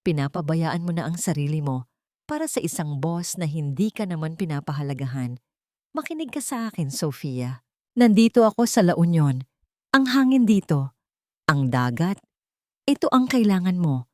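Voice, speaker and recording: Woman acting a lively, bossy best friend. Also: deep voice, moderate pace, dry recording, clean studio sound. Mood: urgent, insistent. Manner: urging, emphatic.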